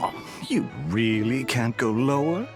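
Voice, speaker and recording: A man playing a wily, guarded smuggler in a video game, with a sly, expressive delivery. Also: mid-range voice, a little slow, over music, lightly acted. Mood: haggling. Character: wily, guarded male smuggler haggling over deals.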